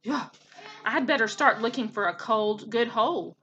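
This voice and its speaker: A woman voicing an easygoing forest frog in a storybook, with folksy talk and croaky exclamations. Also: a little high, animated, normal speed, sharp tone, dull audio, a little hiss or hum. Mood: chatty, concerned.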